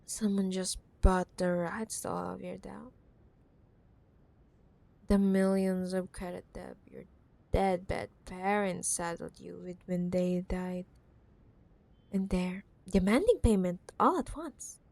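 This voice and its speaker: A woman's voice: on the low side, gravelly, darkish tone, no echo. A woman playing a possessive, menacing corporate CEO ex-girlfriend in a roleplay ASMR drama, mock-sweet and teasing, sometimes dropping to a whisper. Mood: smug threatening.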